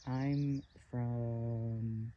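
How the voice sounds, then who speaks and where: A man's voice: mid-range voice, fairly even intonation, drawn-out words, muffled recording. A man from North Carolina, born in Puerto Rico, chatting casually and reflectively in a self-recorded hiker interview, a little hesitant and self-amused. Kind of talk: casual, reflective chat to camera.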